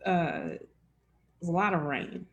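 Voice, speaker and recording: A woman's voice: on the low side, darkish tone. A woman hosting a live-streamed information session as enrollment director, friendly and chatty with many fillers.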